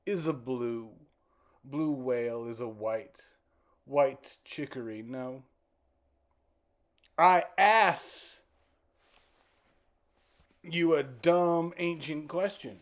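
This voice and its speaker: A man reciting his own poem as spoken word, in deliberate, broken phrases with halting pauses and repeated words. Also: a little high. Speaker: poet reciting own work.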